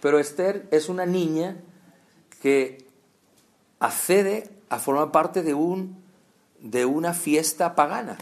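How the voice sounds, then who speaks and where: Male preacher, fairly high voice, chatty sermon with lots of questions to the listeners. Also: slow, pauses often. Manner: conversational, addresses listeners directly with rhetorical questions.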